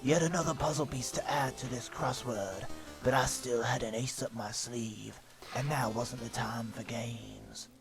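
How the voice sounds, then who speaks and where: Man voicing a deadpan hardboiled private eye over music. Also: medium pitch, unhurried, vocal fry. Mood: self-important, deadpan. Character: self-important, dim hardboiled pony-world private eye. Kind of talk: film noir detective parody narration. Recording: music behind the voice. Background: background music.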